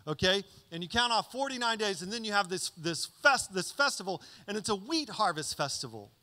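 A man's voice: sits high, a little fast. A man in his thirties preaching a conversational sermon into a handheld mic on a church stage, often checking in with "okay?". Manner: conversational, animated teaching with frequent "okay?" check-ins. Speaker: pastor. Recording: reverberant church hall.

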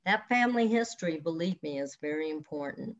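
A woman's voice: low voice, lively intonation, fairly quick. A woman in her sixties, a counselor, speaking earnestly and advising listeners directly over a video call from her home. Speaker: counselor and hypnotherapist. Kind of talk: online expo talk answering questions. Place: home room with large windows. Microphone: webcam on video call. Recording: dull lo-fi video call audio.

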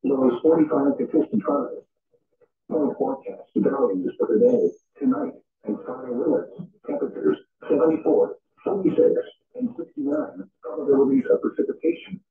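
A man's voice: high-pitched, wildly swooping pitch, normal speed, creaky. A synthetic man's voice reading an automated weather forecast as a radio announcer in clipped, formulaic phrases, played over a radio. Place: radio speaker playing in a home room. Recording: dull radio playback in echoey room.

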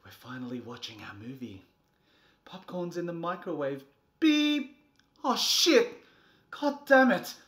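A man in his thirties doing a comedic, theatrical storybook reading, moving between a soft bedtime tone and exasperated delivery. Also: on the high side, big pitch swings, unhurried, long pauses. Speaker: presenter reading a picture book. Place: small furnished nursery room indoors.

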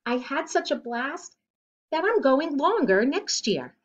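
A middle-aged woman reads a rhyming children's picture book aloud in a deliberate, expressive way, recorded at home on a device mic. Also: high voice. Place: living room at home, indoors. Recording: dull lo-fi webcam sound.

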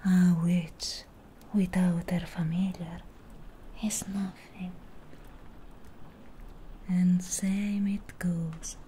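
Girl acting as a sly possessive witch, asmr roleplay, super slow breathy whisper, soft and dark, bit of background noise. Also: lowish voice, flat delivery. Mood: tender, possessive. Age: young adult.

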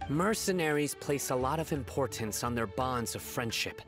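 An actor playing a stern, principled young desert law enforcer in a video game, speaking gravely and formally. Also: male voice, medium pitch, lively intonation, normal speed, over music, lightly acted. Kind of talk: video game character acting.

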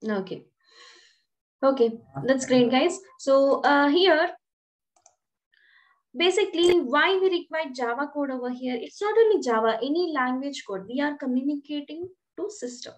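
Female coding instructor, high voice, quizzing tone, video call mic. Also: animated, moderate pace. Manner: questioning, explains step by step with repeated "right?". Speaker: programming instructor. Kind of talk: online class lecture. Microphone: webcam on a video call.

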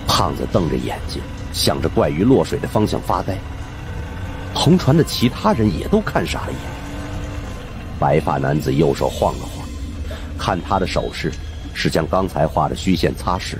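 A man's voice: a bass voice, very animated, normal speed. A man narrating supernatural suspense fiction as a dramatic audiobook reader. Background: background music.

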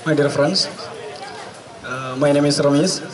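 A man's voice: fairly high pitch, steady tone, a little slow. A man in his twenties with an Indian accent giving an emphatic political speech, repeating his phrases for weight. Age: 20s. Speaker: student activist speaker. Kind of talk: impassioned political speech at a rally. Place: outdoor night rally stage. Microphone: handheld microphone through PA. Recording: distant PA sound with crowd around.

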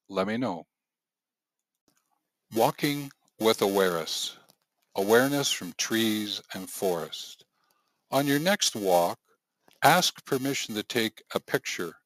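A man with a fairly low voice hosts a gentle guided narration. He speaks very slowly and deliberately, leaving pauses between short phrases. The sound is dry and close, like a voice-over. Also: no room sound. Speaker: host of a nature channel.

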